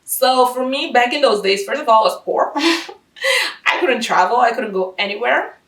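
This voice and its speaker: A woman's voice: on the high side, moderate pace, far from the mic. Chatty woman in an echoey room. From Curaçao. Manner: chatty, laughs often, animated asides. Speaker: vlogger. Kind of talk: casual language-learning tips vlog.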